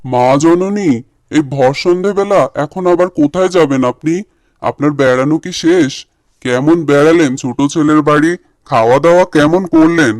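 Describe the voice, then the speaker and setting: A man's voice: a little high, moderate pace, a little mellow, no echo, clean studio sound, lightly acted. A man voicing an adult son talking to his mother in a cartoon moral story. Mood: teasing.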